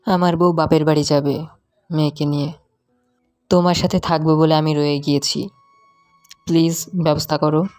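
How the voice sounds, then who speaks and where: Give me a woman doing a low-voiced sweet-talking male lover. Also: level tone, moderate pace, long pauses, a little mellow, no room sound, clean studio sound, faint music behind, lightly acted. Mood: pleading, doting. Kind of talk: audio love-story drama acting.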